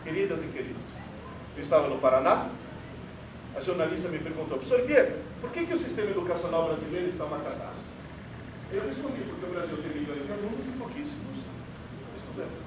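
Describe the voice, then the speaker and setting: A high-voiced man lectures an auditorium audience in a theatrical, sarcastic, emphatic way, and Portuguese is not his first language. He is picked up from far off in a roomy hall. The recording carries clear background noise. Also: very animated, fast talker. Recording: echoey hall, distant mic, noisy.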